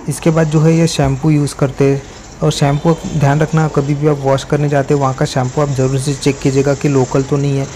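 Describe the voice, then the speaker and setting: A man's voice: medium pitch, dark tone, slight background noise, poor audio. A young man vlogging casually at an outdoor car wash, explaining each step in a chatty way with lots of fillers. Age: young adult. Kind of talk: casual vlog narration.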